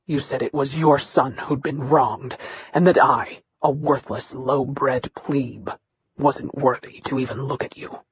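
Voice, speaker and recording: A narrator voicing a bitter young man from a royal family who speaks in a low, level, emotionless tone. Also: fairly even intonation, measured pace, slightly rough, muffled recording. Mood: cold, bitter. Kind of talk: audiobook character reading.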